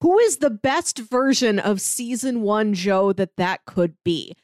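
A woman's voice: medium pitch, unhurried, no echo. A woman with a mid-range voice co-hosts a casual podcast, arguing her take with conviction. Her sound is dry and close, with no room around it. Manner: animated, opinionated, fillers like "like". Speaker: podcast co-host. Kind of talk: casual TV recap chat.

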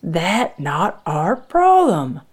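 A woman voicing an angry, suspicious ex-military husband with a loud, barking captain's manner in a narrated story. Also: low-pitched, very animated, slow pace, full character voice. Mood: angry warning.

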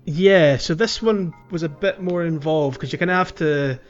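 A man hosting a podcast, talking through a video game in a casual, halting way as he thinks aloud. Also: on the high side, highs cut off. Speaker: podcast host. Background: music.

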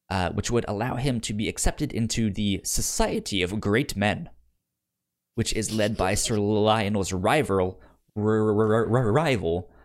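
Man co-hosting a casual podcast, stumbling slightly. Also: on the low side. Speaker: podcast co-host. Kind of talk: casual pop culture podcast talk.

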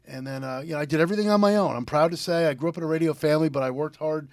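A man in his fifties with a slightly high voice speaks as a radio guest. He is from Connecticut and tells personal stories in a casual, rambling way. The studio sound is dry. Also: rapid-fire, a little mellow, no room sound. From Connecticut, United States. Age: 50s. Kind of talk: casual interview, personal storytelling.

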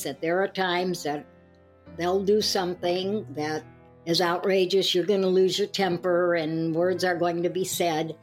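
A woman in her eighties from the United States, talking candidly and casually about her own life. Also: on the low side. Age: 80s. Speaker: discussion participant. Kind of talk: casual personal reminiscence.

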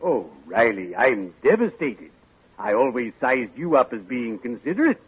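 An actor playing a pompous, mock-mournful undertaker with formal diction and drawn-out punchlines in a 1940s radio comedy. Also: male voice, medium pitch, very animated, husky. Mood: mock devastated. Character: theatrical, mock-mournful, chummy male undertaker. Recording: noisy, dull vintage radio recording.

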